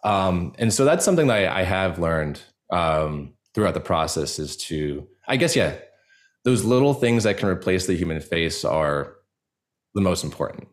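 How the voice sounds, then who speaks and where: Man, deep voice, interview guest, earnest, halting, self-deprecating, conversational. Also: expressive. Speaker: podcast guest, audio drama creator.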